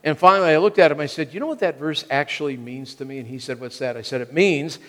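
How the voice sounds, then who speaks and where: A man's voice: a little high, fast talker, a bit bright. An American man in his sixties preaching a sermon in emphatic short phrases, often repeating them for weight. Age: 60s. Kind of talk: sermon to a congregation. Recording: long reverb of a large hall.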